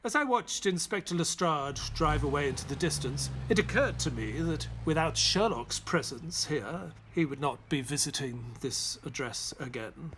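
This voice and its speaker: An actor playing a loyal, grieving gentleman who narrates a detective audio drama in a measured, reflective manner. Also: a little high, animated, background noise.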